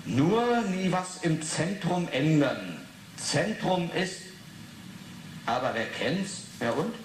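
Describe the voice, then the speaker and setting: An actor in a 1980s radio play playing a curt, suspicious male police inspector, brusque, probing and deliberate. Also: on the high side, sing-song. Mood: suspicious, reading aloud. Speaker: police investigator. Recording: roomy, faintly noisy 1980s radio drama.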